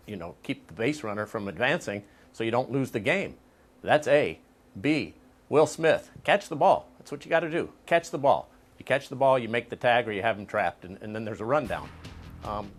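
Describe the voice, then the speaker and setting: A man giving live post-game analysis as a sports commentator, in short, emphatic statements. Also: mid-range voice.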